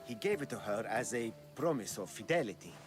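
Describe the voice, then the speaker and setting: Earnest islander man, played by an actor, animated pitch. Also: brisk, over music, close to an everyday voice. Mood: storytelling. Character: earnest male islander seeking a new life. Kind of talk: video game dialogue acting.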